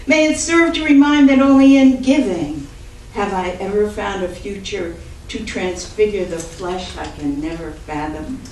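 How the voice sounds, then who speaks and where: An older woman with a big voice, a poet and writing teacher reading her poems at a book launch, mixing chatty asides with measured reading. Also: medium pitch, a little mellow, a little hiss or hum. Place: event room with audience. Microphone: distant mic. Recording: roomy echo, voice from far off.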